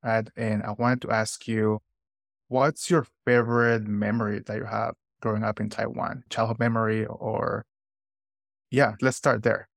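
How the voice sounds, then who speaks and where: A man's voice: fairly low pitch, level tone, a little slow, creaky, no echo, clean studio sound. A man hosting a podcast, talking earnestly in short phrases with some restarts. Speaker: podcast host. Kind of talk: podcast intro and casual interview.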